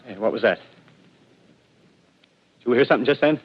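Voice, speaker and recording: An actor playing a suspicious, territorial survivor armed with a knife, speaking bluntly in a 1930s radio drama style on a dull lo-fi broadcast. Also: male voice, medium pitch, fairly quick, slightly rough, close to an everyday voice.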